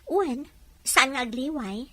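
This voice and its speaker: A woman's voice: sits high, expressive, slow, a bit bright, breathy edge, exaggerated voice. A woman playing a good-natured caretaker in an audio drama, explaining things patiently and reassuringly.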